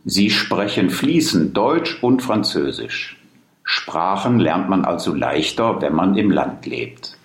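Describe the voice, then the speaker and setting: A man in his fifties from Germany, giving a careful, measured read-aloud monologue for a listening exercise. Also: sits low, very animated, a little hiss or hum. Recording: roomy echo.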